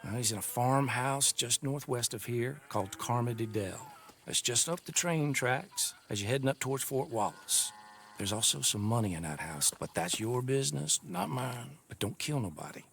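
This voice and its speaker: An actor playing a cagey old American dealer in stolen goods, folksy, guarded and grudging, in a video game cutscene. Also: male voice, medium pitch, lively intonation, normal speed, creaky, fairly bright tone, a little hiss or hum. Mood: sly. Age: older.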